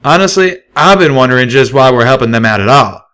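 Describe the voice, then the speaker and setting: Guy doing a loud twangy country pony dude, blunt, storybook reading. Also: medium pitch, animated, brisk, voice pushed up, close to an everyday voice. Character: gruff country stallion pegasus, blunt and steady.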